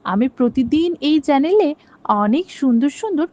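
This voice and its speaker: A woman narrating a cartoon story in a steady, simple storytelling way and talking to her channel viewers. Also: high voice, normal speed. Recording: dull lo-fi with faint hiss.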